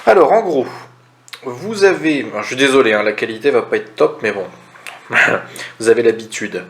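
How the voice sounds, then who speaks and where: A man's voice: mid-range voice, normal speed. A man presents board game rules in a casual, step-by-step tutorial, conversational and didactic, often asking questions and then answering them. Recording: roomy echo, audible background noise.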